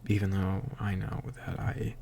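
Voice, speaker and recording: A slightly tipsy man recording a confessional audio diary, speaking in a halting, hushed, introspective way that often trails into a whisper. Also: low-pitched, monotone, creaky, slight background noise. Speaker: audio diarist.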